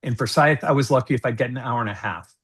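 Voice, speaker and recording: A middle-aged man speaks earnestly on a video call, his voice at an ordinary pitch for a man. He lays out his points in measured sentences with the odd "um" and "uh.". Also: a bit bright, slightly rough. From United States. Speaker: school board candidate. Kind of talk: forum candidate statement.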